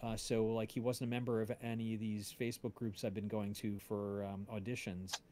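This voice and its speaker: A man from New Jersey with an American accent, chatting casually and haltingly as a host, rambling as he searches for words. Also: lowish voice, level tone, a little mellow, a little hiss or hum. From New Jersey, United States. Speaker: podcast livestream host and casting director. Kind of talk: casual chat.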